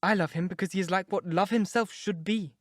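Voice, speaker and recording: Man doing lovestruck young woman, eager, breathless, high, dry close audio. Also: a little fast, no echo, close to an everyday voice. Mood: adoring.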